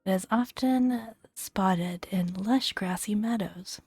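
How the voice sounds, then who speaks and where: Soft breathy woman, slow ASMR reading. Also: mid-range voice, no echo, faint music behind. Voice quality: soft, breathy, often near-whispered. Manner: gentle, unhurried, with long pauses between phrases. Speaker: gaming video host. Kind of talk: soft-spoken ASMR, reading game entries aloud with casual asides.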